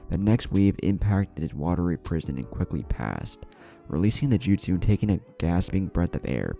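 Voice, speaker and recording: Man, very low pitch, dark mellow tone, dramatic story narration, music underneath. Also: vocal fry. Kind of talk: dramatic scripted fan fiction narration.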